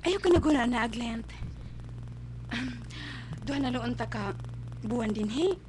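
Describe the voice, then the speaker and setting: A woman's voice: fairly high pitch, expressive, unhurried, darkish tone, slight background noise. A woman playing an emotional young Cebuano woman in a radio drama, tearful and pleading. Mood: weary, reassuring. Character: emotional young woman, pregnant, pleading with her parents and sweetheart.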